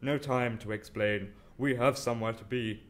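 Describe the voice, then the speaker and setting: Audio drama lead, male actor: arrogant, easily bored genius detective, medium-pitched, condescending and curt. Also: steady tone, normal speed, lightly acted. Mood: urgent, brisk. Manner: dismissive, clipped, condescending.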